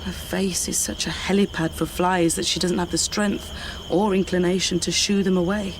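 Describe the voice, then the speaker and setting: Middle-aged British woman played by an actress, candid and weary, fry in the voice, naturalistic radio acting, noisy night exterior. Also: on the low side, flat delivery, normal speed, lightly acted. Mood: wistful, pitying. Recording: night insects and outdoor ambience noise.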